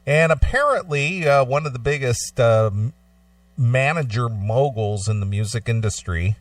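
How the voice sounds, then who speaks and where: Male talk radio host, blunt and conversational, mid-pitched, dry sound. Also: moderate pace, no room sound. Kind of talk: casual radio talk, reading an article aloud. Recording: slightly distant microphone, dry sound.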